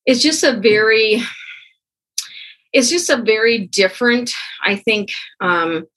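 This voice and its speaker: A woman whose first language is Pennsylvania Dutch, answering interview questions hesitantly with many pauses and restarts. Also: fairly high pitch, expressive, fairly bright tone, low-quality recording. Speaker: guest, co-author.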